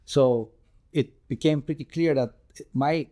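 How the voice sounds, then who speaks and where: Earnest man, mellow voice. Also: on the high side. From Bulgaria. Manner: earnest, measured, with frequent ums and restarts. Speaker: tech founder and guest. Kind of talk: telling his life story in an interview.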